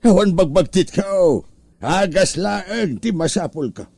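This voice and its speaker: A creaky, highish-pitched lazy husband acted by a man in an Ilocano-accented comedy drama. He drags out his complaints and whines theatrically about being sick, always angling for a drink. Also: lively intonation, darkish tone, no echo, clean studio sound, heavily acted. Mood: eager. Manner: drawn-out complaining, theatrical moaning. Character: lazy whining hard-drinking husband feigning illness. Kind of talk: comedy audio drama acting.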